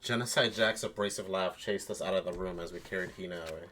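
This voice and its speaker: A man streaming a game playthrough, switching between casual chat and expressive dramatic reading. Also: sits low, fast talker, a little hiss or hum. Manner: animated, expressive.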